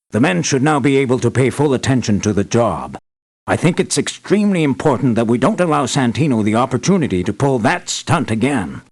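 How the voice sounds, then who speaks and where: An actor playing an anxious older man, an island boss and devoted father, in an earnest, measured video game performance. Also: mid-range voice, lightly acted. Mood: relieved, approving. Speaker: employer briefing hired mercenaries. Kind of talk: scripted video game voice acting.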